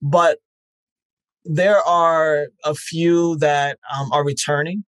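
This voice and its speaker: A man's voice: fairly high pitch, steady tone, takes it slowly, a bit bright, clean tone, no echo. A man, founder of a podcast festival, answering interview questions in a reflective way with many fillers and restarts.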